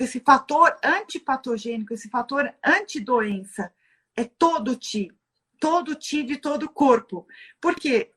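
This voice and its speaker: Brazilian woman, high voice, teacherly, poses questions to herself and answers them. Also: fairly bright tone. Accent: Brazilian Portuguese. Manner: teacherly, asks herself rhetorical questions, tags sentences with "né?". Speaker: guest lecturer on Chinese medicine. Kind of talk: explanatory talk from a livestream.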